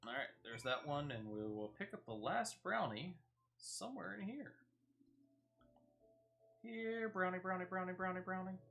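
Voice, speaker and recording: A mid-pitched gamer chats casually through a game with music playing underneath. The delivery is playful and swings widely in pitch, drifting into sing-song nonsense. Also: lively intonation, moderate pace, creaky, long pauses, a bit bright. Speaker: gaming streamer. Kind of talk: casual gameplay commentary.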